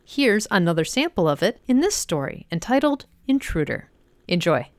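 A woman's voice: fairly low pitch, sing-song, normal speed, no room sound. A woman hosting an audio drama podcast, giving a cheerful, upbeat introduction. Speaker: host of audio drama showcase. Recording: dry studio voice over soft music.

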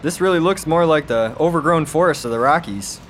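A man's voice: fairly high pitch, lively intonation, a little fast, slight background noise, natural voice. An actor playing a glib, bantering space crewman in an audio drama. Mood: teasing. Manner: glib, bantering, mock-formal. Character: wisecracking, laid-back male space crewman, defiantly jokey.

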